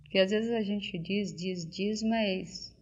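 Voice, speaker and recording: An older woman talks at an unhurried, deliberate pace, stopping often to hunt for the right word. Her pitch sits in an ordinary middle range. The old tape recording sounds muffled and lo-fi. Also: a little mellow. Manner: hesitant, searching for words with many restarts. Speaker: interviewee. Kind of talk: casual spoken reminiscence.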